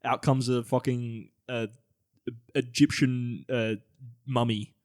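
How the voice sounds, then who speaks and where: Man, Australian accent, awkward, hesitant, middle pitch, comedy improv podcast. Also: flat delivery, takes it slowly, darkish tone. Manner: awkward, hesitant, lots of fillers. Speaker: podcast co-host. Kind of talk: improvised comedy role-play.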